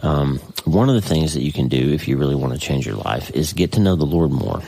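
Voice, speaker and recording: A man's voice: rumbling low, lively intonation, brisk, vocal fry, mellow, slightly rough, no room sound. A middle-aged man with a stuffy, congested voice hosting an earnest, folksy faith podcast from his home office desk. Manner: earnest and folksy, with many restarts. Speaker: podcast host. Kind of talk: casual faith-based podcast monologue.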